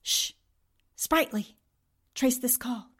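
A woman acts a plucky female newspaper columnist in an old-style radio drama. Her voice is high, and she talks in a brisk, authoritative way with a wry edge. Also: fairly bright tone, breathy edge, heavily acted. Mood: tense, defiant. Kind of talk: 1940s radio drama acting.

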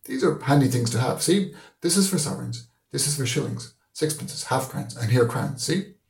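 A man's voice: mid-range voice, expressive, normal speed, gravelly, a little mellow, roomy sound, voice pushed up. A man voicing a pompous, opinionated old schoolmaster in an audiobook, lecturing emphatically with rhetorical questions. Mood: brisk, satisfied. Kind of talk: audiobook character voice.

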